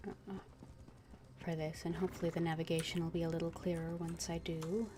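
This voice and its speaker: A woman giving casual, unscripted commentary as she streams a video game, hesitant and thinking aloud with long pauses. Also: lowish voice, level tone, noisy background.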